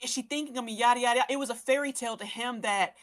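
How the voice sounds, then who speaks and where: A woman with a bright, pressing tone talks through a piece of fiction. Her delivery is casual and slangy, rambling as she explains and quotes what characters might think. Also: on the high side, rapid-fire. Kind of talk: casual fan discussion of a fiction story, acting out characters' thoughts.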